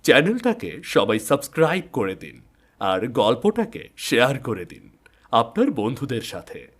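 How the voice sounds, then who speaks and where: A man narrating a scripted ghost story in a measured, dramatic storytelling manner. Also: mid-range voice, wildly swooping pitch. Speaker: narrator and channel host.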